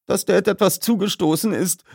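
A man's voice: sits high, creaky, a little mellow, husky, no echo, studio quality, voice pushed up, exaggerated voice. Young boy for a detective storybook, played by a man: anxious, sad, thin raised voice, hesitant and upset. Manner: halting, upset.

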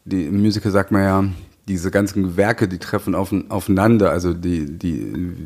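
A man with in a casual podcast conversation as a host, halting and repeating words as he thinks. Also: sits low, darkish tone. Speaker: podcast host, musical maker.

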